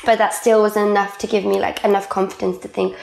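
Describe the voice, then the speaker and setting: A woman's voice: medium pitch, little pitch movement, fast talker, creaky, darkish tone, echoey room. A young woman from Wales with a Welsh accent, whose first language is Welsh, chatting freely as an interview guest and filling her talk with "like". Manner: chatty, lots of "like" fillers. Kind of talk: casual conversational interview.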